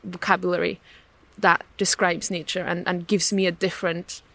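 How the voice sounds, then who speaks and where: A woman's voice: lowish voice, little pitch movement, moderate pace, a bit bright. A woman whose first language is German, hosting a podcast interview in a conversational, appreciative way. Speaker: podcast host.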